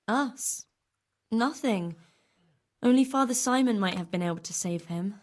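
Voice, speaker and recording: A woman's voice: medium pitch, measured pace, natural voice. A woman playing a weary, stern and devoted medieval nurse in a video game, blunt in manner. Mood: grave. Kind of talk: video game cutscene dialogue.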